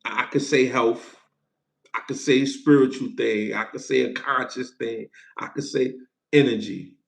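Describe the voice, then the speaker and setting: Livestream host, man, forties, gravelly, playful, repeats himself, roomy sound. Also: mid-range voice, steady tone, measured pace. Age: 40s. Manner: loose, playful, repeats himself, thanks viewers for gifts. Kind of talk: casual livestream chat.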